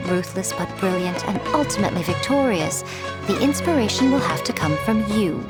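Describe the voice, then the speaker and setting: A woman's voice: fairly low pitch, close to an everyday voice. A woman voicing a sly, elegant noblewoman in a video game, speaking with a silky, amused, teasing drawl. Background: game music.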